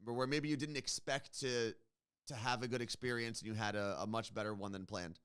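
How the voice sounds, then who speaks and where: A man's voice: mid-range voice, steady tone, fairly quick, a bit bright, clean tone. A man hosting a morning show, asking a guest long, curious questions in a casual chat. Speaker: radio show host. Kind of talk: interviewing a guest.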